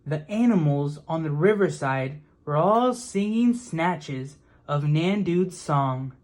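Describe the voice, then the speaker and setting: Slow-speaking woman reading a children's storybook. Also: sits low, lively intonation, darkish tone. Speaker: children's book reader. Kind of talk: storybook read-aloud narration.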